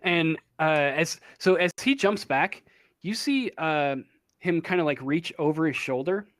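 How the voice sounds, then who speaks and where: A man narrates a tabletop horror game as game master, pausing often and filling gaps with ums and uhs. He speaks slowly, in a slightly high voice, recorded dry and close over voice chat. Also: expressive, a bit bright, dry recording. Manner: halting, many ums and uhs. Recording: online voice chat.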